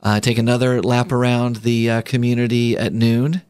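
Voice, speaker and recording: A man's voice: mid-range voice, flat delivery, normal speed, darkish tone, clean tone, no echo. A man hosting a radio show in a studio, chatting casually with plenty of fillers, restarts and easy laughter. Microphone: studio microphone.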